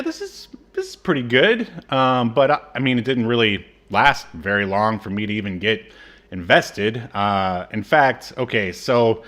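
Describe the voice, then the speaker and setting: A man's voice: mid-range voice, a bit bright. A man in his thirties chats on a podcast in a mid-range voice. He wanders through his thoughts with plenty of fillers and restarts, and the talk stays easygoing. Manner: rambling, many fillers and restarts. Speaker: podcast co-host. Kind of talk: casual chat.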